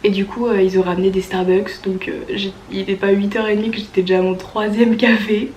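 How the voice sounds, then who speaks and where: A woman's voice: mid-range voice, level tone, fast talker, darkish tone, a little hiss or hum. Young French woman vlogging with a stuffy cold. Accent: French from France. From France. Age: early 20s. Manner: informal, youthful slang, enthusiastic. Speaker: au pair vlogger. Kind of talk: casual chatty vlog storytelling.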